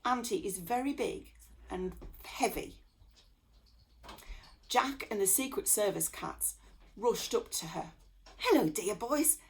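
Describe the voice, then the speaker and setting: Slow-paced woman reading a bedtime story. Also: medium pitch. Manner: expressive, with acted dialogue. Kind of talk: children's bedtime story read aloud.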